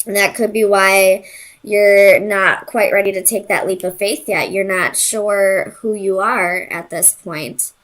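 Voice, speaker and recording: A woman's voice: mid-range voice, fairly even intonation, measured pace, a bit bright. A woman doing an informal tarot reading, speaking straight to the listener and thinking aloud in loose, tentative phrases. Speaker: tarot reader and host.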